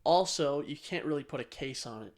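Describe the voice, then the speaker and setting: A man's voice: on the high side, animated, a little fast. A man co-hosting a podcast in a casual, rambling, blunt way with some swearing. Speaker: podcast co-host. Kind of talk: casual tech chat.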